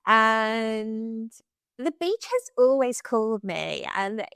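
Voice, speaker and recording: An Irish woman chats as a podcast guest, bubbly and quick to laugh. Her voice sits fairly high and swoops with lively melody. The sound is dry and close. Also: clear, resonant tone, no room sound. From Ireland. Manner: bubbly and expressive, laughs easily. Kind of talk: casual interview chat.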